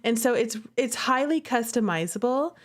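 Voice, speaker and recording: High-voiced, playful woman streamer. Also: normal speed. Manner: playful, animated, chatty, addressing viewers directly. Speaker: streamer and visual novel creator hosting a playthrough. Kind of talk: casual livestream chat and game commentary. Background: music playing behind the voice.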